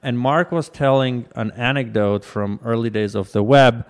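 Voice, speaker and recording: A man's voice: medium pitch, moderate pace. A man from Armenia, a startup co-founder answering interview questions thoughtfully, with fillers and restarts.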